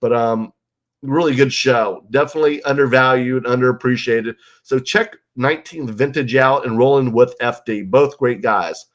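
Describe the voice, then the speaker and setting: A man's voice: medium pitch, normal speed. Congested man hosting a casual livestream. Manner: rambling, loose, full of ums and you-knows. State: stuffed-up nose and congested from illness. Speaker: livestream host. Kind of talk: casual live-stream chat reading viewer comments. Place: guest bedroom at home.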